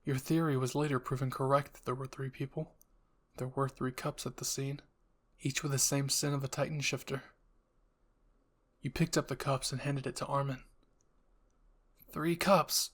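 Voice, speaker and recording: A man with a medium-pitched voice reads scripted story narration. He is the storyteller of a listener roleplay. He tells it in the second person, placing the listener inside the tale. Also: level tone, moderate pace.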